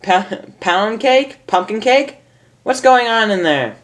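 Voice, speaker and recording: A man's voice: sits high, animated, takes it slowly, a bit bright, pitched above the performer's own voice, heavily acted. A man voicing a worried, motherly female cartoon pony baker in a fretful, maternal way. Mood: suspicious, worried.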